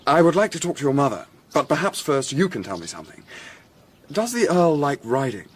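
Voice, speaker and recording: Dry, precise Victorian gentleman investigator, background noise. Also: on the high side, sing-song, brisk. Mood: businesslike. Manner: dry, formal, precise, terse. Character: shrewd, reserved Victorian gentleman investigator of science. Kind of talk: period radio drama acting.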